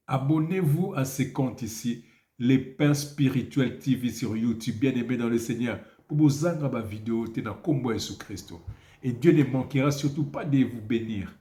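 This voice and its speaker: A priest preaching a video sermon, with a cold and an emphatic style full of rhetorical questions. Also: male voice, medium pitch, dark tone. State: has a cold. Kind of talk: video sermon with prayer and Bible reading. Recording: echoey room.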